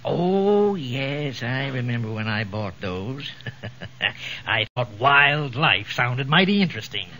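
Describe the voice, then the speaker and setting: A man's voice: medium pitch, very animated, slow pace. An American man acting an absent-minded, blustering middle-aged father in a 1940s radio comedy, hemming and hawing in a folksy way. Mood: mild, musing. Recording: old muffled 1940s radio recording, noisy.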